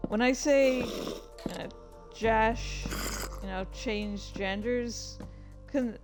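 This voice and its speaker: Slow-talking, hesitant woman rambling over music. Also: on the high side. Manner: hesitant, meandering, thinking aloud. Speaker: fan fiction author and streamer. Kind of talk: casual rambling commentary while playing a game.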